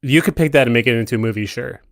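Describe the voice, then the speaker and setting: A man co-hosts a podcast in an easygoing, joking way. His voice is medium in pitch for a man. The sound is very dry, with almost no room around it. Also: very fast, no room sound. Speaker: podcast co-host. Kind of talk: casual chat.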